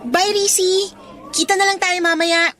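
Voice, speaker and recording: A woman's voice: very high voice, steady tone, unhurried, fairly bright tone. A Filipino woman playing a shy, overlooked teenage schoolgirl and loyal best friend in a radio drama, hesitant and understated. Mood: awkward. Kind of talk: radio drama acting in casual speech. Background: background music.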